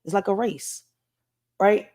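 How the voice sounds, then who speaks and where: A woman's voice: medium pitch, takes it slowly, dark tone. A woman from Puerto Rico with a Philadelphia accent, hosting casual commentary and rambling with a frequent "right?". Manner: rambling, says "right?" often. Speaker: host.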